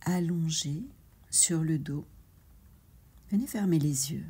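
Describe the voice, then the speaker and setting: Woman doing guided meditation, soft husky low voice, lots of pauses, soothing. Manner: soothing, gentle, with long pauses. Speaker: yoga nidra instructor.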